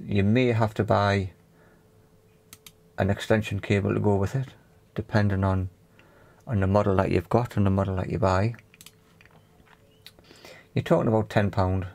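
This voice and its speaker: A man casually explaining a hands-on tech repair in a matter-of-fact, halting way. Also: on the low side, creaky, darkish tone. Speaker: repair video presenter.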